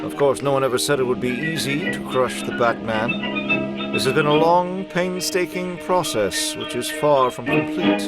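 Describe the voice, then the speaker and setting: A man playing a menacing, grandiose criminal mastermind, speaking deliberately in a comic book drama. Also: fairly high pitch, huge pitch swings, rough recording. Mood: gloating. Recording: loud music under the voice.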